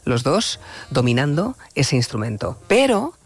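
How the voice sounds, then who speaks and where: A man hosting a late-night radio interview, speaking in a measured, reflective way and leading his guest with questions. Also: medium pitch, very animated. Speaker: radio host.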